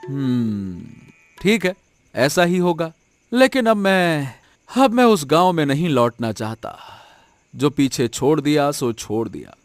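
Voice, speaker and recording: Male actor as poor village husband, cartoon story, humble, principled, hesitant, slow pace, lively swooping pitch. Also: faint music behind. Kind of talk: Hindi cartoon story voice acting.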